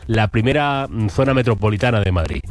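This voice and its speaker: A man's voice: lowish voice, animated, fairly quick, no room sound. A man with an accent from Spain hosts a quiz in unscripted banter, wry and mock-exasperated in manner. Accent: Spanish from Spain. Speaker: quiz host on a talk show.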